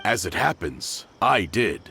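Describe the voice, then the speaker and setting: A man's voice: low voice, very animated, measured pace, gravelly, a little hiss or hum, exaggerated voice. An actor playing a cautious, helpful townsman in a video game. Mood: concerned. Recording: loud game music behind.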